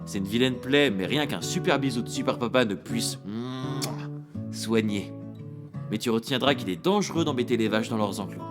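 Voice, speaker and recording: A man playing a tender, playful father who gently teases his young daughter in a French audio drama. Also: mid-range voice, moderate pace, close to an everyday voice. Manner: gentle, teasing. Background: guitar music.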